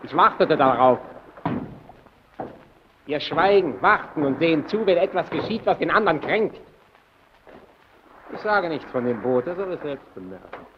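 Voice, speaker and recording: A sly, resentful lighthouse keeper in a vintage radio play. His voice sits high and swings widely as he insinuates and dodges. The recording is dull and hissy. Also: sing-song, normal speed, long pauses. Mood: brooding. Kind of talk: old German radio play acting.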